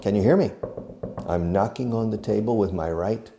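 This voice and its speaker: A man teaching a beginner English lesson, explaining slowly and acting out each phrase, with short glosses in another language. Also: on the low side, vocal fry, mellow, slight background noise. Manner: slow, deliberate, demonstrative. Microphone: video recording.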